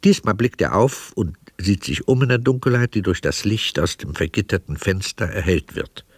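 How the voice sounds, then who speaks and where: A man with a lowish voice narrates a radio play in measured, matter-of-fact phrases. The tone is slightly husky and the sound very dry and close. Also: expressive, no room sound. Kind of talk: scripted radio drama narration.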